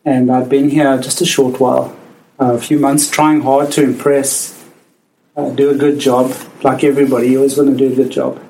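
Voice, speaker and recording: A man's voice: mid-range voice, level tone, dark tone, poor audio. A South African man in his forties tells personal stories from his work as a doctor, in a medium voice. He halts and restarts often and laughs easily. Faint noise sits under the recording. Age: 40s. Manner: halting, with frequent restarts and laughter. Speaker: physician. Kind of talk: personal storytelling.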